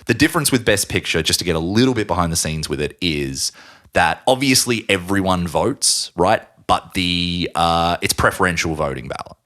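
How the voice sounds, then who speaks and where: A man's voice: low voice, expressive, moderate pace, a bit bright. A man co-hosting a casual film podcast, explaining things at length with jokey asides. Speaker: podcast co-host.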